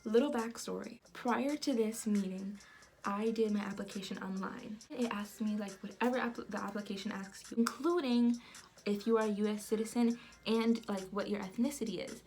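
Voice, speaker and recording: A young woman from the United States, telling a personal story in an animated vlog style with lots of fillers. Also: medium pitch, moderate pace, slight background noise. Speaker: vlogger.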